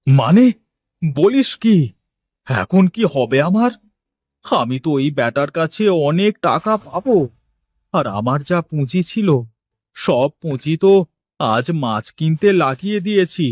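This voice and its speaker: A man's voice: fairly high pitch, sing-song, slow, pauses often, dry recording, clean studio sound, full character voice. A man playing an anxious village fish wholesaler in a cartoon, exclamatory and given to repeating himself. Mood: panicked. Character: anxious village fish-wholesale boss, polite, easily panicked. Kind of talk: cartoon dialogue acting.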